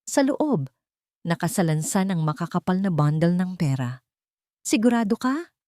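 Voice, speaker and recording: Lower-pitched woman narrating romantic drama. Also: sing-song, moderate pace, mellow, dry recording, studio quality. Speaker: story narrator.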